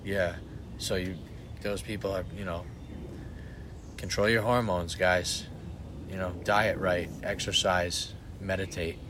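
A man's voice: deep voice, steady tone, slow pace, pauses often. A man co-hosting a casual podcast, rambling and swearing, restarting his sentences often. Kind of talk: casual chat. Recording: background noise.

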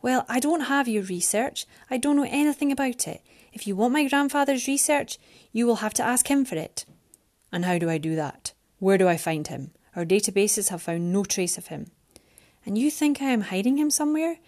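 A woman's voice: medium pitch, moderate pace, a little mellow, close to an everyday voice. A woman voicing a defiant, frightened young woman who speaks with rising heat.

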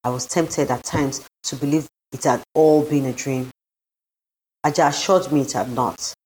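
Low-pitched woman narrating a story. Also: steady tone, moderate pace, darkish tone, low-quality recording. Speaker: story reader. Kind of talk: scripted literary narration.

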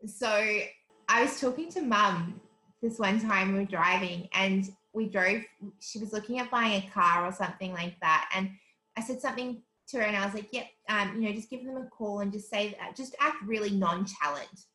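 A woman's voice: mid-range voice, moderate pace, bright, forceful tone, reverberant, poor audio. A woman in her twenties, raised in South Africa, with a light Australian accent, telling stories animatedly as an interview guest and laughing often. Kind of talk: casual interview chat.

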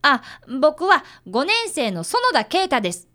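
High, animated young schoolboy detective played by a woman. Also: unhurried, heavily acted. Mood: kindly, questioning. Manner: polite, earnest. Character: earnest, polite fifth-grade boy, apprentice detective and narrator. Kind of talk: radio drama acting and narration.

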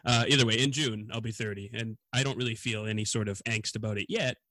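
A man's voice: lowish voice, fairly even intonation, fast talker, bright, forceful tone, dry recording, rough recording. A man in his late twenties hosting a casual chat in an easygoing way. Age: late 20s. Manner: easygoing, laughs often.

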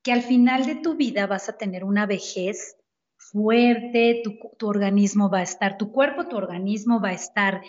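A woman hosting a casual talk about health, easygoing and quick with agreeing murmurs. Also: mid-range voice. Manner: conversational, laughing asides, frequent "ujú" backchannels. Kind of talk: casual wellness chat. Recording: dull lo-fi audio.

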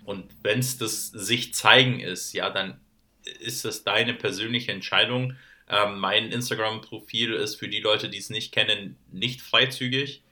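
A man co-hosting a casual podcast chat, speaking haltingly with many fillers and dry teasing. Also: lowish voice, steady tone, pushed and bright. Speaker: podcast co-host. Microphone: separate remote recording.

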